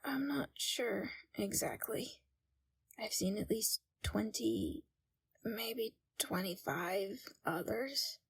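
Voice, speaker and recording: A woman playing a timid, frightened young captive in an audio roleplay, speaking in a hesitant, hushed and halting way. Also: mid-range voice, creaky, breathy edge, no room sound, heavily acted. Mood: pleading, tearful. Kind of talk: scripted audio roleplay, ASMR.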